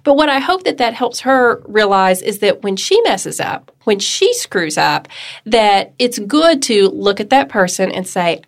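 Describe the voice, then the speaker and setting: A woman's voice: mid-range voice, big pitch swings, a little slow, gravelly. Reflective middle-aged woman co-host. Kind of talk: casual studio talk. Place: radio studio.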